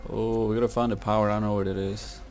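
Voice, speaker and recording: A man's voice: on the low side, flat delivery, fast talker, a little mellow. A young man giving casual gameplay commentary in slangy language, muttering to himself as he plays. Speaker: gamer, video creator. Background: video game music and sounds.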